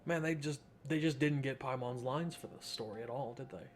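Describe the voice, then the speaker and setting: A man's voice: medium pitch, brisk. A man giving casual gameplay commentary, rambling to himself in a wry, informal way. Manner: rambling, self-talking, wry. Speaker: gamer, streamer. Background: game music.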